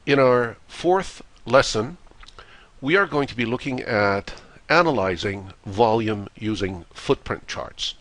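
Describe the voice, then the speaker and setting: A hoarse, congested man delivers a slide lecture on trading in a slightly low voice. He speaks in a measured way and leans on "uh" between phrases. The recording is close and dry, with no room sound. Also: fairly bright tone, no echo. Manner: measured, with frequent "uh" fillers. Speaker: trading course instructor.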